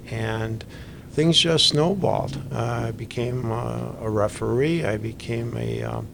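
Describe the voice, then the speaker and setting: Middle aged guy, pickleball coach, gravelly voice, chatty storyteller, faint hum behind. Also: medium pitch. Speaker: pickleball instructor. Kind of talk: podcast conversation.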